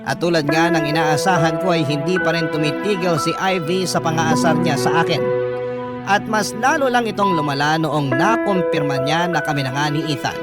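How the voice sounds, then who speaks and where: A man's voice: fairly high pitch, normal speed. A man with a Filipino accent, a radio host reading a listener's story as dramatic first-person narration. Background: loud instrumental music.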